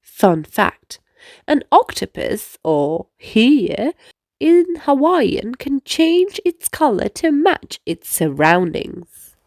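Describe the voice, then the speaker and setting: A woman reads a children's story aloud with lots of expression. Her pitch is high and swings widely, and she takes her time with the words. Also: no echo, clean studio sound. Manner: animated, deliberate storytelling. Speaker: children's book reader.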